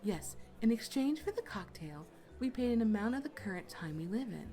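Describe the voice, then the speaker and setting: A woman's voice: medium pitch, big pitch swings, fairly quick, close to an everyday voice. A woman voicing an enigmatic, teasing adult witch who speaks in cryptic, playful lines, in a fan-dubbed radio drama. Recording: soft music behind.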